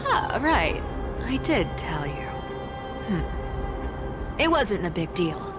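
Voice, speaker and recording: A young female game rogue, sardonic and carefree. Her pitch moves about in animated swings with a wry, teasing edge. Music plays clearly behind her. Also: slow pace. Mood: wry, musing. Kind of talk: video game character dialogue.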